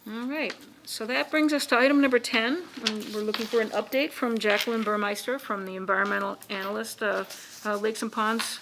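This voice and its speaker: A woman chairing a town commission meeting, moving briskly through agenda items. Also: a little high, fairly even intonation, noisy background. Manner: brisk, procedural.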